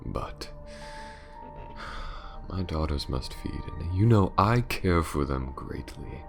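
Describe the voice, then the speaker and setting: Man playing a slow, very deep vampire lord. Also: animated, gravelly, over music, heavily acted. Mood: cold threat. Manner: slow, theatrical, sinister, often dropping to a whisper. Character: menacing aristocratic male vampire lord, sadistic and possessive. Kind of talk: ASMR villain roleplay.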